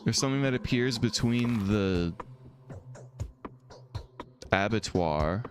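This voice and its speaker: A man streaming a video game, thinking out loud in casual, rambling talk, his voice a little hoarse from a throat infection. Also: lowish voice, lively intonation, takes it slowly, faint music behind. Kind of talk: casual let's-play commentary, reading dialogue aloud.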